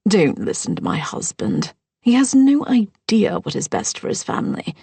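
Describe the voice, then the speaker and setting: A woman voicing a gracious older mother-in-law who speaks formally and softly, at times near a whisper. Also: mid-range voice, wildly swooping pitch, normal speed, gravelly, husky, dry recording, pitched above the performer's own voice, full character voice. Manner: formal and soft-spoken.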